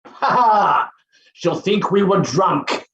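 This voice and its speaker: An actor playing an overbearing, impatient, scornful professor in a theatrical play reading, blustering and muttering exclamations. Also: male voice, high-pitched, lively intonation, slow pace, gravelly, a bit bright, muffled recording, exaggerated voice. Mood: brisk, offhand. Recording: roomy echo with faint hiss.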